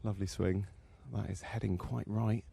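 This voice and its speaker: A man speaks in a hushed, low and gravelly voice while walking a golf course, giving live commentary on the players. His tone is dark and mellow, with a faint hum of open-air ambience behind him. He keeps it quiet and conversational, full of little fillers. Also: little pitch movement, moderate pace. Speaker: on-course reporter.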